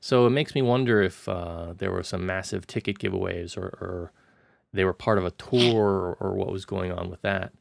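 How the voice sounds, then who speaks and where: A man reviewing a film in casual chat as a podcast co-host, halting and restarting often. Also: low voice, vocal fry.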